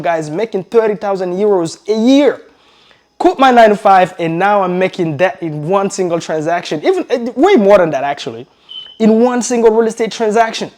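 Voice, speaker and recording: A man in his thirties who grew up in Belgium and learned English later. He coaches real estate newcomers in an energetic, repetitive style and keeps calling his viewers "guys.". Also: high-pitched. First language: not English. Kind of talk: motivational talk to camera.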